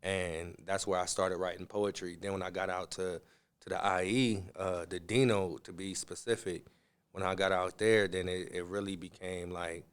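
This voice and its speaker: Smooth low-voiced laid-back man. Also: flat delivery, normal speed. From Southern California, US. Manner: laid-back, slangy, often says "you feel me". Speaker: interview guest, musician and entrepreneur. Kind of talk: casual conversational interview answers.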